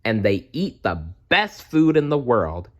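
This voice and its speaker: A man voicing a young female bat pup, eager and excitable, with squeaky exclaiming delivery in a storybook reading. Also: measured pace, muffled recording. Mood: excited.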